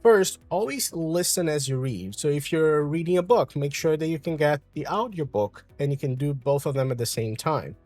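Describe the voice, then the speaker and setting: Man, Brazilian Portuguese accent, English teacher, calm explainer, dry close sound, faint music behind. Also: fairly high pitch, moderate pace, hardly any pauses, dry recording. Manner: calm, explanatory, with frequent "uh" and "um" fillers. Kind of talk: online English lesson.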